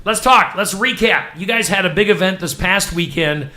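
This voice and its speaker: A man hosting a small local morning show, reading news items and chatting with quick asides. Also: sits high, bright, forceful tone. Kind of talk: casual chat.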